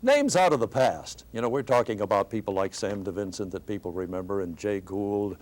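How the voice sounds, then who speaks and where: A man's voice: fairly low pitch, lively intonation, fairly quick, darkish tone, slight background noise. An older man, a veteran radio newsman, casually reminiscing with former colleagues.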